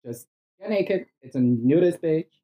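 A man's voice: on the high side, expressive, a little slow, mellow, poor audio. A man from Brazil with a Brazilian Portuguese accent, a podcast guest chatting in a bedroom, bawdy and playful, laughing often. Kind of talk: casual podcast chat.

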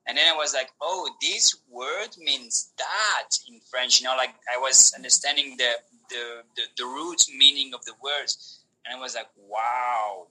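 Animated man with a very bright voice. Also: medium pitch, measured pace, rough recording. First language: Polish. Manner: animated, many restarts and fillers. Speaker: podcast guest. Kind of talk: casual conversation.

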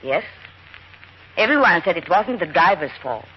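An actress playing a grieving young Viennese stage actress, who speaks quietly and guardedly. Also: female voice, fairly low pitch, big pitch swings, normal speed, lo-fi sound. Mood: sorrowful. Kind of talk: 1940s radio acting. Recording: hissy old radio broadcast recording.

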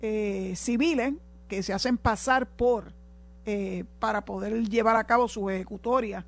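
A woman's voice: mid-range voice, fairly even intonation, unhurried. An older woman with a Puerto Rican accent hosting a political call-in show in a direct, emphatic way. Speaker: radio call-in host.

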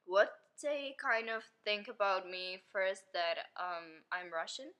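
Casting: young woman, English, clear bright tone, easygoing podcast guest who laughs a lot. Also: mid-range voice, slow pace, muffled recording. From Syria. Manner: chatty, laughs often, non-native English phrasing. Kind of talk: casual chat.

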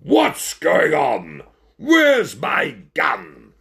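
A man voicing an angry, menacing circus ringmaster in a children's story, shouting and growling. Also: a little high, huge pitch swings, extremely slow, creaky, breathy edge, voice pushed up, heavily acted. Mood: furious.

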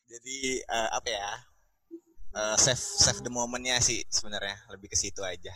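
A young man in casual chat, loose and slangy, pausing on fillers as he talks. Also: mid-range voice, a crowd in the background, low-quality recording. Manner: relaxed slangy talk with fillers. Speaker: panel guest.

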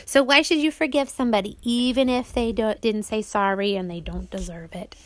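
High-voiced woman reading to children. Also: a little fast, no echo. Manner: explains simply, as to young children. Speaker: author reading own audiobook. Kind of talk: audiobook reading with questions to children.

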